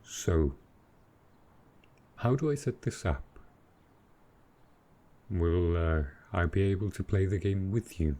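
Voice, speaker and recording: A man plays a very low-voiced male dragon in an intimate boyfriend roleplay. He speaks slowly and tenderly, with hesitant pauses. He is affectionate and possessive, and a little lost with modern technology. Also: animated, dark tone. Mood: curious, puzzled. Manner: slow, tender, hesitant speech.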